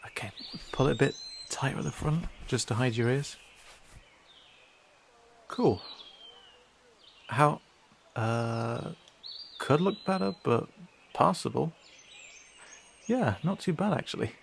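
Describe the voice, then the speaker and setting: A man's voice: mid-range voice, slow pace, vocal fry, pauses often, a little hiss or hum. A man playing a wary young male cat-person guide in a fantasy audio drama, speaking casually and hesitantly straight to the listener. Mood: brisk, appraising. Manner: hesitant, casual, conversational asides.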